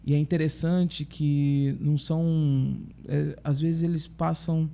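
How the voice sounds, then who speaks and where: A man, a pastor with a Brazilian accent, telling stories in a relaxed conversation and often checking in with tag questions. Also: on the high side, takes it slowly, gravelly. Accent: Brazilian Portuguese. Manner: chatty storyteller, frequent tag questions. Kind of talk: casual podcast conversation.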